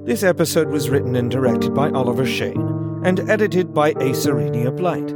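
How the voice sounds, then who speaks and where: Prominent guitar music plays behind a man announcing podcast credits. He reads scripted acknowledgements and promotional lines at a middle pitch. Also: animated, normal speed. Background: plucked guitar music.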